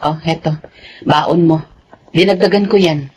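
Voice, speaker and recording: An actress playing a humble, self-sacrificing widowed nanny and mother, gentle and earnest, with a Filipino accent in a radio drama. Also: low-pitched, measured pace, a little hiss or hum, low-quality recording. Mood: caring.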